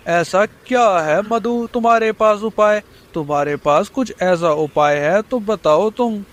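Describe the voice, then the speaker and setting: A man's voice: high-pitched, measured pace. A man voicing a dismissive male crow in a children's cartoon, speaking emphatically. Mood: mocking. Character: scornful male crow, dismissive naysayer of the forest.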